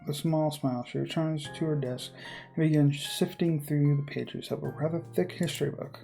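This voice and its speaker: A person reading visual novel narration aloud, breaking off for informal, halting commentary. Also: medium pitch, steady tone, creaky, dark tone, faint music behind.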